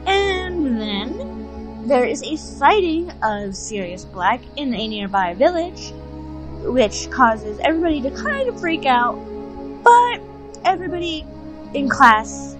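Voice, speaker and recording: A woman with a fairly high, animated voice hosts an informal story recap. She is chatty and playful, talking over clear background music. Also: measured pace, rough recording. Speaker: host giving a recap.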